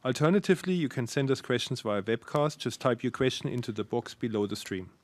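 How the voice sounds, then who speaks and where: A man's voice: mid-range voice, expressive, a little fast. A man moderating an investor call, giving formal instructions.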